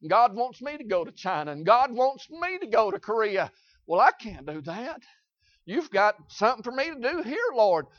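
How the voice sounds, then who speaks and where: A man preaching a sermon in a Southern US accent, folksy and repetitive, swinging between loud shouts and quiet asides. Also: high-pitched, very animated, moderate pace, no echo, lo-fi sound.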